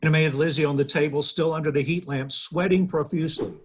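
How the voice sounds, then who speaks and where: An American man narrates a comedy script, reading out the stage directions. His voice is fairly high for a man and has a husky edge. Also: steady tone, fast.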